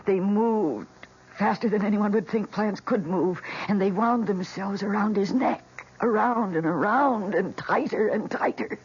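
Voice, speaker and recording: A woman's voice: mid-range voice, unhurried, a little mellow. A woman with an American accent playing a fragile, obsessive young housewife in a radio drama, with intense, dramatic delivery. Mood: dark, obsessive. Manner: intense, dramatic, breathy emphasis. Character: fragile, obsessive housewife confessing her killer plants' deed. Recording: dull, noisy old radio recording.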